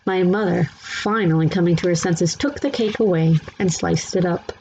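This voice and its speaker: A woman's voice: fairly low pitch, normal speed, darkish tone. A woman narrating a children's book aloud as a scripted story, on a slightly dull, faintly noisy recording.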